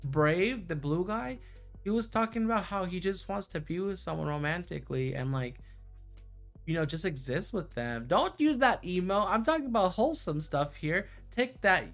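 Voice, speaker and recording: A streamer reacting excitedly to a visual novel and bantering with chat. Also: medium pitch, moderate pace, faint music behind. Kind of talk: live game commentary.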